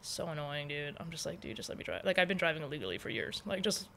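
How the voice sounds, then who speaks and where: A woman from Hawaii hosting a podcast, talking loudly and freely with plenty of opinions. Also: sits low, big pitch swings, fast, gravelly. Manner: loud, opinionated, talkative. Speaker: podcast host. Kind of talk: casual chat.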